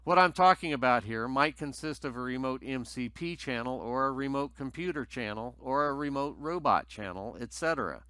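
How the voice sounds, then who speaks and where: Bright-voiced man narrating a technical tutorial. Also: medium pitch, expressive, normal speed, few pauses, clear, resonant tone, no echo. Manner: methodical, explanatory. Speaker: tutorial presenter.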